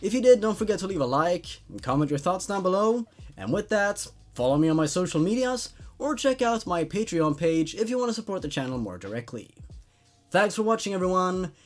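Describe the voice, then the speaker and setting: Higher-pitched man, casual show host. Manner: repeats phrases for emphasis. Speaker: host of horror story reading show. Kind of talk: laid-back casual commentary.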